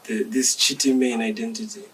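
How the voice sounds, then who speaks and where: A young man from Zambia, a dancer being interviewed, speaking in a measured, reflective and polite way. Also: medium pitch, very animated, fairly bright tone, far from the mic, a little hiss or hum. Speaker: dancer guest. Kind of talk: interview conversation.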